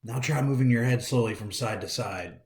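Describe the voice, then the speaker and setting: A man's voice: medium pitch, normal speed, gravelly, darkish tone. An American man hosting a storytime, reading a picture book aloud in a theatrical way and talking directly to young listeners. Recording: roomy, echoey indoor sound.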